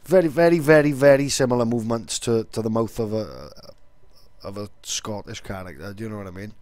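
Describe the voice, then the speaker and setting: A man's voice: lowish voice, a little fast, darkish tone. A man with a Welsh accent, explaining things casually as an online video creator.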